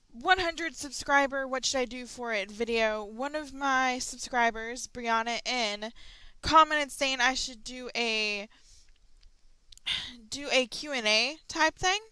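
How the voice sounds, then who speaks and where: A woman's voice: high-pitched, slow, pauses often, pushed and bright, clear, resonant tone, no room sound, low-quality recording. A young woman hosting a casual audio log, chatty and rambling with frequent ums and restarts. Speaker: channel host.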